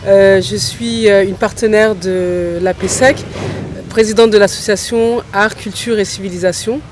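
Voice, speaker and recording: A middle-aged woman introducing herself as a guest, speaking in a halting, hesitant way. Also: mid-range voice, steady tone, noisy background. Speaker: municipal councillor, teacher.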